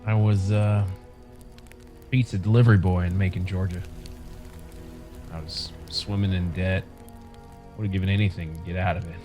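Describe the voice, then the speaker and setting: A man's voice: low-pitched, steady tone, normal speed, long pauses, lightly acted. A man playing a young, regretful, down-on-his-luck survivor in a drama table read. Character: young, broke, regretful former pizza delivery boy. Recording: video-call read over music.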